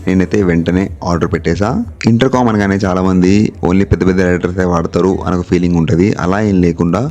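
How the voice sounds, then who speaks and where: A man's voice: sits low, mellow. A man with a Telugu accent narrating a product review vlog, speaking directly and mixing English terms into his talk. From India. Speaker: vlogger.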